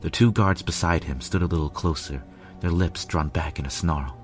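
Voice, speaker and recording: A man's voice: low-pitched, normal speed, natural voice. A man narrating a fan fiction story as a lovelorn pony royal guard, grave and dramatic. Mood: anguished. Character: male pony royal guard telling his lovelorn tale. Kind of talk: scripted first-person story narration. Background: music.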